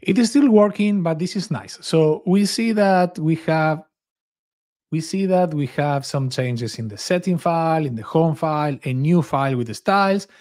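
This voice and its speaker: Higher-pitched man presenting a coding tutorial. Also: normal speed, darkish tone. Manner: enthusiastic, informal. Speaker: software developer advocate, presenter. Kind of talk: screen-share coding tutorial, casual narration. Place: small home office indoors.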